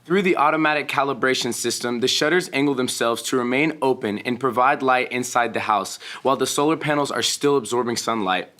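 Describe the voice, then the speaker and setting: A man's voice: medium pitch, fairly bright tone. Young Texan man, student presenter, careful read with small restarts. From Texas. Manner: careful reading with small self-corrections. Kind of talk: reading a prepared presentation.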